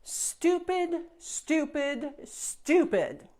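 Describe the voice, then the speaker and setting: A woman doing Princess Miserella, a shrill brat. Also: very animated, slow pace, heavily acted. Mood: taunting. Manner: shrill, demanding and whiny. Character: spoiled lying bratty young princess.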